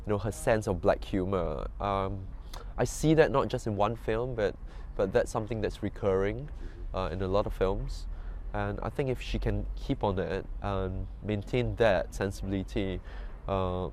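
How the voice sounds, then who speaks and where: A man from Southeast Asia speaks in an interview with a fairly low voice. He is measured and hesitant, and faint ambient noise sits behind him. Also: darkish tone. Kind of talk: interview talk.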